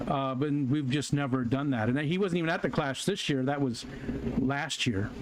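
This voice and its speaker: A man's voice: medium pitch, normal speed. A man hosting his own live stream, chatting casually with viewers in a rambling way, with lots of fillers and restarts. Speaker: livestream host. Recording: noticeable background noise.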